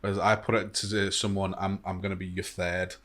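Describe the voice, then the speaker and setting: A man's voice: deep voice, little pitch movement, normal speed, crowd noise. A man in his thirties, a returning guest on a comedy podcast, telling stories drily and laughing at himself. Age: 30s. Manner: dry storyteller, laughs at himself. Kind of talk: casual chat.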